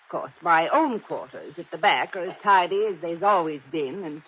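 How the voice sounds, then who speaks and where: A man's voice: a little high, expressive, normal speed, slightly rough. A prim old housekeeper, a woman guarding her house in a 1950s radio drama. The voice is raised and swoops expressively, with formal, genteel phrasing. The old recording is slightly dull. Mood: formal, guarded.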